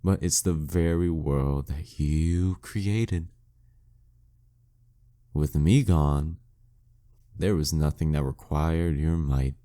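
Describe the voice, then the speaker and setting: Man playing a deep, slow, taunting demon king. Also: mellow. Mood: mocking, teasing. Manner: slow, teasing, condescending, smugly theatrical. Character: dead male demon king, taunting, dominant, proud former nemesis. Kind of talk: intimate scripted monologue, audio roleplay.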